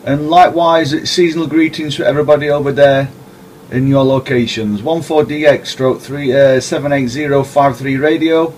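A man working as an amateur radio operator, speaking deliberately in radio-contact style and carefully spelling out letters and numbers. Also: mid-range voice, steady tone, a little mellow, slight background noise. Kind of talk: radio contact with call signs spelled phonetically. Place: indoors at a radio set.

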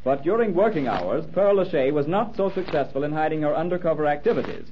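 A man acting as the solemn, measured narrator of a 1930s radio crime drama. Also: fairly high pitch, lively intonation, slight background noise. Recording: dull 1930s radio recording with music.

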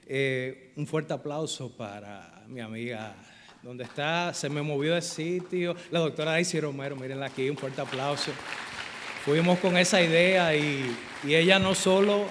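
A middle-aged man speaks earnestly at a lectern, with a somewhat high voice for a man. He thanks people in a formal speech, pausing often to search for words. His voice rings with the long reverb of a large auditorium. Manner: earnest, grateful, many hesitations. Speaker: event organizer giving a speech. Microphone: lectern microphone.

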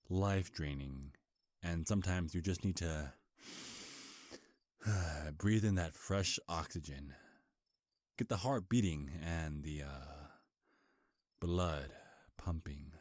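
A man playing a charming, sly male vampire in an intimate ASMR roleplay, soft-spoken, flirtatious and hesitant, with deliberate delivery. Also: low voice, no room sound, studio quality. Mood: coy, awkward. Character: charming, sly male vampire, centuries old, courting prey. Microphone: close, dry microphone.

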